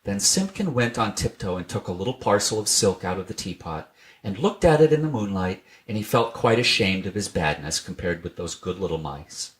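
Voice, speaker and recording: Animated man reading a children's story. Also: lowish voice, a little fast. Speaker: storybook reader. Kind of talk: expressive children's story narration.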